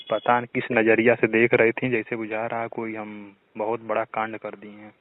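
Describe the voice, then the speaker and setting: A man's voice: fairly low pitch, monotone, a little slow, dry recording. Young Bhojpuri-accented man on a phone line. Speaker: student. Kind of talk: casual phone chat, telling anecdotes. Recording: narrow, tinny phone call recording.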